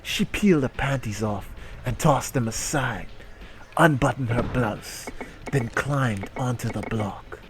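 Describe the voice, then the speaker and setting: A man's voice: mid-range voice, slow pace. Man reading dramatically over music. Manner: theatrical narration breaking into incredulous asides and giggles. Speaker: reader performing a dramatic reading. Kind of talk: comic dramatic reading of an erotic short story.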